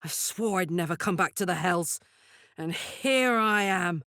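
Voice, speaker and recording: A woman's voice: lowish voice, a little slow, sharp tone, no echo. A woman voicing a brash, fiery horned warrior woman in a video game, talking bluntly and informally like a soldier, recorded dry. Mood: somber. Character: brash, fiery female devil-horned warrior with an infernal engine heart. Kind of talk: video game character voice lines.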